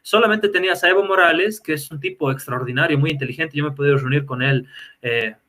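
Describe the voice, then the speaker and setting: A man from Bolivia answers questions in a live online interview. His voice is bright and forceful, sitting a little high for a man. He talks in a measured, analytical way, pausing on frequent "eh" fillers. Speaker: guest speaker.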